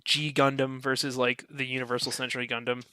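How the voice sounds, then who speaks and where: A man's voice: mid-range voice, flat delivery, brisk, sharp tone, dry recording. A man chatting casually on a podcast, loose and full of "like". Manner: loose, filler-heavy, says "like" a lot.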